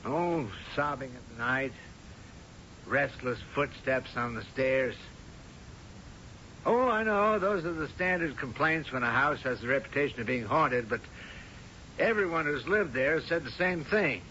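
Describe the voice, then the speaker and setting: Bright, hesitant house seller. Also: male voice, animated, a little slow. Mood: uneasy, polite. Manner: hesitant, explanatory. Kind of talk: 1970s radio drama acting. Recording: dull old radio recording, faint rumble.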